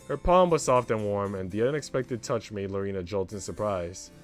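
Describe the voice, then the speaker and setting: A man's voice: lowish voice, normal speed. A man reading a visual novel aloud as scripted narration with character voices. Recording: music under the voice. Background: background music.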